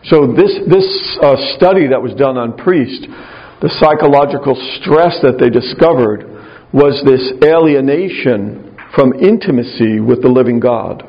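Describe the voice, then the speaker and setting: Older male speaker, very low and gravelly voice, conversational and wry, giving a retreat talk to clergy. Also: unhurried, lo-fi sound. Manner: conversational, wry, self-deprecating humor. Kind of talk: spiritual talk to a live audience.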